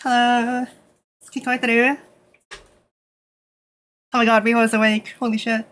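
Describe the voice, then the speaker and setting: A Canadian woman streaming in a nervous, casual way, with lots of fillers, switching between English and halting Japanese. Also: a little high, little pitch movement, a bit bright. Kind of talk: casual live stream chat.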